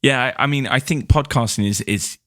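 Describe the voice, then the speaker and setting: A man's voice: mid-range voice, fairly quick, fairly bright tone, no echo, studio quality. A man hosting a podcast in a casual, chatty style. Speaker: podcast host. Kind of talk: casual chat.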